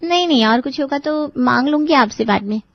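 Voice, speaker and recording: Woman as spoiled little sister about to marry, very high voice, sweetly pleading, family drama, dull close sound. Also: brisk, gravelly, no echo. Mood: demanding, sweet. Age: young adult. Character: wheedling, spoiled young bride-to-be, pampered little sister. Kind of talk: Hindi family drama acting for story video.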